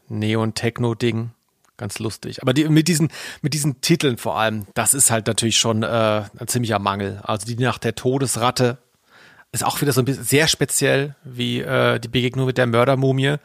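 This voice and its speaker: A man with a gravelly, mid-pitched voice gives casual, blunt opinions as a podcast host. His delivery is dry and critical, recorded close with no room sound. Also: dry recording.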